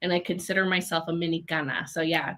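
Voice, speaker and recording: A woman's voice: fairly low pitch, fairly even intonation, fast, fairly bright tone. A young woman from Chicago, raised in Minnesota, speaking as a guest on a video call interview. She talks in an earnest, upbeat way with frequent fillers. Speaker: guest, community leadership program leader. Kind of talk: casual interview conversation.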